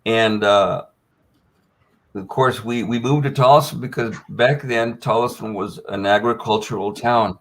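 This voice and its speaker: A man's voice: fairly low pitch, steady tone, measured pace, a bit bright. An older man, telling a long personal story as a guest on a panel, with many fillers and restarts. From United States.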